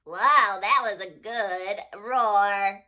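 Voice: mid-range voice, drawn-out words, bright, forceful tone, full character voice. A performer voicing a small, playful paintbrush who pretends to be a dinosaur, in a bouncy, sing-song way. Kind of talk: children's puppet show acting.

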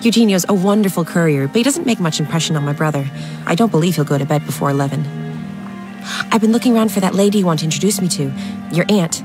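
A woman's voice: fairly low pitch, expressive, fast, over music, lightly acted. A woman playing an artless young American socialite in a period radio drama, breezy, candid and rambling. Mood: breezy, chatty.

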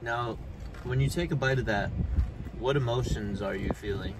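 Sarcastic guy in his 40s chatting about tacos in a parked car, street noise clearly audible behind him. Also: medium pitch, moderate pace. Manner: joking, sarcastic asides. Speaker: food vlogger. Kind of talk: casual food review chat. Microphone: phone camera on dashboard.

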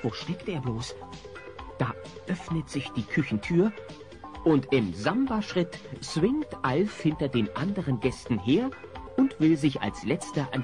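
An actor narrating a family comedy radio play as a wry storyteller. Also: male voice, medium pitch.

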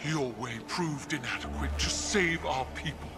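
An actor voicing a proud, defiant alien ruler, speaking in a firm, measured way in a game cutscene. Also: male voice, a little high, natural voice. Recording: game cutscene with music behind.

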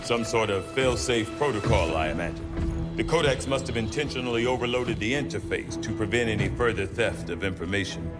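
An actor playing a composed male scientist in a video game, speaking in a calm, formal, precise way. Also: fairly low pitch, a little fast, over loud music, natural voice. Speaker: science officer. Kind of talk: video game cutscene acting.

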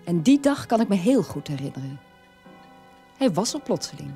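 An actress playing a sober middle-aged daughter of a war criminal, telling her past in a measured radio-play monologue with a husky voice. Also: on the low side, big pitch swings, warm tone, soft background music. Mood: sober, reflective.

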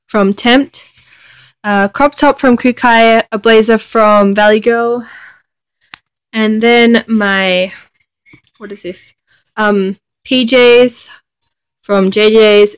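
A woman's voice: medium pitch, smooth, rough recording. A young woman in her twenties talking casually into her phone, rambling with lots of fillers and self-corrections, first showing her packing and then filming herself in an airport terminal. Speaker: vlogger. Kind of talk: casual vlog chat. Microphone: phone held at arm's length.

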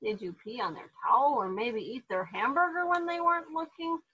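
Storybook pup played by a woman: eager, innocent boy dog, wide-eyed and childlike, bright voice with a husky edge. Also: sits high, moderate pace, dull audio, heavily acted. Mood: puzzled, earnest. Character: eager, innocent, excitable young male dog narrating his day.

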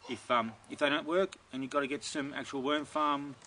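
Man, middle-aged, bright clear voice, relaxed and rambling, backyard outdoors, faint ambient noise. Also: medium pitch, brisk. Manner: relaxed and rambling, many ums and restarts. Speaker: gardening video host. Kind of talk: casual Q&A, reading viewer questions.

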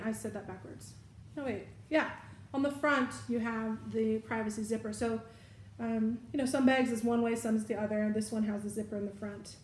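A woman's voice: fairly high pitch, reverberant, a little hiss or hum. A middle-aged woman chatting casually through a shopping haul at home, describing each bag's details and correcting herself as she goes. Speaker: vlogger showing a shopping haul. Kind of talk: casual product review. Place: living room at home, indoors. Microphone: fixed camera at table distance.

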